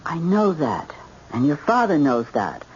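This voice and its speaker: A woman's voice: deep voice, very animated, a little slow, darkish tone, muffled recording. A woman playing a fussing, protective middle-aged wife and mother in an American radio drama, chiding and firm. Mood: firm, defensive. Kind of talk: old-time American radio drama acting. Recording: band-limited vintage radio recording, faint noise.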